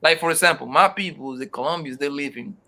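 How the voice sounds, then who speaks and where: A man talking casually as a podcast co-host, animated and swearing freely, calling the other man bro. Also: fairly high pitch, quick pace, vocal fry. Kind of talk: casual conversation.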